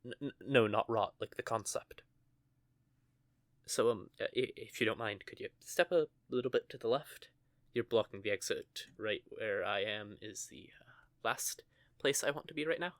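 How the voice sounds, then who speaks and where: A man's voice: lowish voice, moderate pace, no echo, natural voice. A man playing a stammering flustered young witch. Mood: embarrassed. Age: young adult. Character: nervous stuttering young male witch student. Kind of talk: audio roleplay acting.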